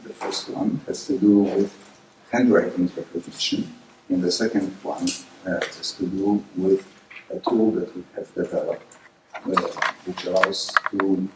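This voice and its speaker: Low-voiced man with a Polish accent. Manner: hesitant, many filler pauses, lively emphasis on key points. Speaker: guest speaker. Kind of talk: lecture presentation. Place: lecture room. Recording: echoey hall, distant mic, faint noise.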